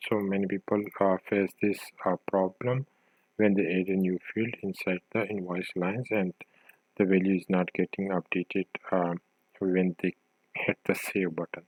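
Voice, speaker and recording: A man narrating an informal software tutorial, thinking aloud with a hesitant delivery as he demonstrates. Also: deep voice, level tone, normal speed, no room sound, rough recording.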